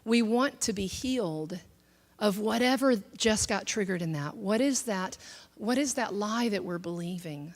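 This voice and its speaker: Middle-aged woman at church, casual teaching plus testimony, lots of um and restarts, acts out quotes, middle pitch. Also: animated, measured pace. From southern United States. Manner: conversational, many fillers and restarts, acts out quoted thoughts. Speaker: speaker at a church teaching session. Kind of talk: teaching talk mixed with personal testimony.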